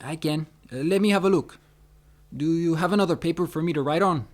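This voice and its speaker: An actor playing a helpful local man who speaks halting English in a radio drama. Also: on the high side, lightly acted. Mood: polite.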